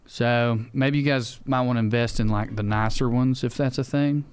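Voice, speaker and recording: A man's voice: medium pitch, fairly even intonation, moderate pace, a little mellow, clear, resonant tone. A man with a Southern US accent explaining things casually on a podcast, wry, blunt and profane. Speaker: podcast co-host.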